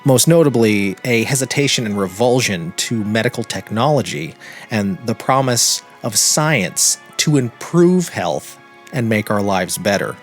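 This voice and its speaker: An American man hosting a podcast, speaking in an earnest, emphatic way with deliberate phrasing. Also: fairly low pitch, expressive. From United States. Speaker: podcast host and narrator. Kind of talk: podcast introduction and personal commentary. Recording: music behind the voice. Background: background music.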